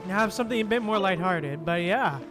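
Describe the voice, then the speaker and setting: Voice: medium pitch, lively intonation, fairly quick. A streamer giving casual, laid-back commentary while playing a video game. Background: game music.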